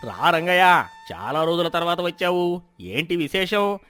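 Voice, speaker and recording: Guy doing a shrewd merchant in a moral story, probing, lively intonation, music under. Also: high voice, a little slow, smooth, full character voice. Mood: curious, welcoming. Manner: probing, familiar. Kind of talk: animated moral-story dialogue.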